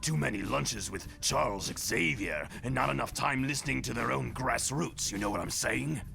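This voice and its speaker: A man's voice: medium pitch, moderate pace, creaky. An actor voicing a cynical, resentful male animal-mutant rebel in a motion comic, grumbling with scorn. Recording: prominent music behind the voice.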